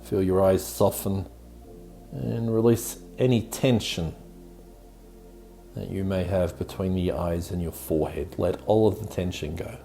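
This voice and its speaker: A man leading a guided meditation in a soothing, gently encouraging way, giving calm instructions as the host. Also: sits low, measured pace, creaky. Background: background music.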